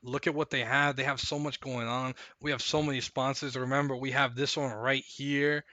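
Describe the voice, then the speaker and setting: Man, stream host, hoarse, losing his voice, rambling and hyped, dry close sound. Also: mid-range voice, little pitch movement, normal speed, pushed and bright, no echo. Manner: rambling, hyped, repeats himself. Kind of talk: casual live-stream chat and recap.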